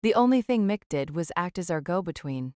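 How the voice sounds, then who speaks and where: A woman's voice: fairly low pitch, expressive, a little fast, warm tone, dry recording, clean studio sound, pitched below the performer's own voice, natural voice. A woman voicing a shrewd old noblewoman mage in an audiobook, with a breezy, teasing and nonchalantly menacing manner. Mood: breezy deflection. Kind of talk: audiobook character acting.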